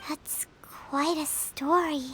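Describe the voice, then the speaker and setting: A woman voicing a tiny childlike female fairy companion in a video game, with a squeaky voice and a simple, curious manner. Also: steady tone, slow, pushed and bright, a little hiss or hum, full character voice, rough recording. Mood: puzzled. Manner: simple, speaks of self in third person.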